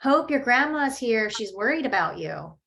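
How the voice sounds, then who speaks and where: A woman's voice: on the high side, very animated, moderate pace, gravelly, a bit bright, voice pushed up, full character voice. A woman reading the part of a hesitant neighbor woman calling through a door.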